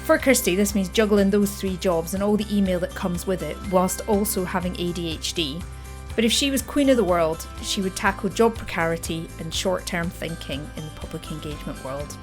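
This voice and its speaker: A woman hosting a podcast, reading a clear, upbeat scripted introduction and then interviewing her guest in a friendly way. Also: fairly low pitch, a little fast, soft background music. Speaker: podcast host.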